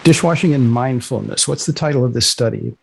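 Gravelly man hosting a podcast. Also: medium pitch, brisk, slight background noise. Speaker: podcast host and magazine editor. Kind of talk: casual podcast hosting and interviewing.

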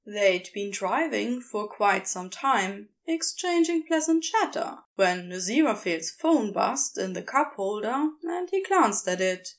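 Woman audiobook narrator, slow delivery, lively swooping intonation, scripted storytelling. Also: mid-range voice. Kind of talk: expressive scripted fiction narration.

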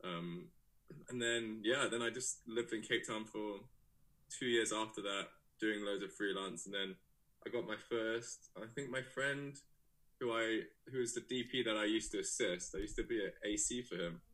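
A man's voice: on the low side, normal speed, poor audio. A young man from England, raised in South Africa, talking casually and rambling through his story as an interview guest. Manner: relaxed, rambling, many fillers and restarts. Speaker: guest, photographer and director.

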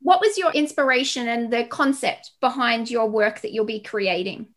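High-voiced woman hosting an interview. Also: normal speed, a bit bright. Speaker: podcast host, interviewer.

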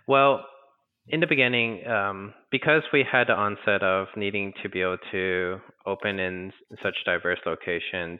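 An American man from Texas answering in a conversational business interview, explaining things in a halting, rambling way with self-corrections. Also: sits low, clean tone. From Texas, United States. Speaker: interview guest, franchise business co-founder. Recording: narrow, tinny phone-line sound.

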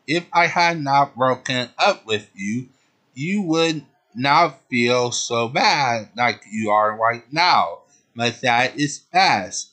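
A man voicing a gentle young male hero who speaks softly and comfortingly, almost begging for forgiveness. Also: medium pitch, animated, slow pace. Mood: comforting, pleading.

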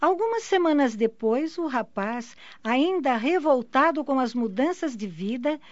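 Higher-pitched woman narrating a radio drama. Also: expressive, no room sound. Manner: measured, storytelling. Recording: dull lo-fi audio.

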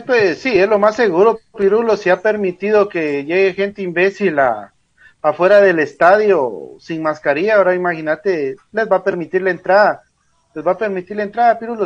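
A man's voice: high voice, animated, moderate pace. A man with a Guatemalan accent giving blunt, slangy opinions as a panelist on a dull lo-fi feed. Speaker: talk show panelist. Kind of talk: informal opinion talk.

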